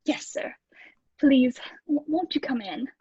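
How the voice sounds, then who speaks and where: Woman doing a nervous meek landlady for a radio play, high breathy voice, stutters a lot, kinda muffled dry sound. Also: animated, takes it slowly, dark tone, dry recording. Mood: timid, eager. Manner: hesitant, stammering, deferential. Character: timid, eager-to-please married landlady in an old British lodging house. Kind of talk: old-time radio play acting.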